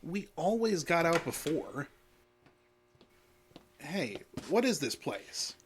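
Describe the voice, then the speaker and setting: An actor playing a whiny, defiant small-time crook in an audio drama, with slangy, petulant talk and a husky voice. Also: male voice, on the high side, big pitch swings, slow pace, exaggerated voice. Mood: petulant, irritated.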